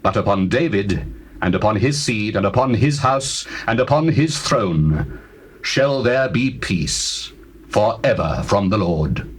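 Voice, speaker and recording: Casting: regal young king of scripture drama, lowish voice, formal declamation, faintly noisy tape. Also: animated, unhurried. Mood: stern, commanding. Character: King Solomon, stern, regal and devout young ruler. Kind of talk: dramatized scripture reading, classical stage acting. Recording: noisy old audio cassette.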